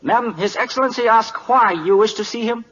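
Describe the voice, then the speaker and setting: An actor playing a servile Siamese interpreter in an old radio drama, speaking clipped broken English. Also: male voice, high-pitched, moderate pace, fairly bright tone, slightly rough. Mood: deferential. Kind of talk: 1940s radio acting. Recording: muffled old radio recording, long reverb.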